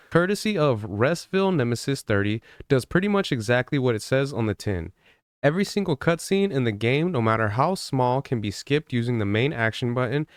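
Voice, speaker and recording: A man giving casual gaming news commentary in a conversational, rambling way, softening his opinions as he goes. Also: mid-range voice, clear, resonant tone, no echo, studio quality.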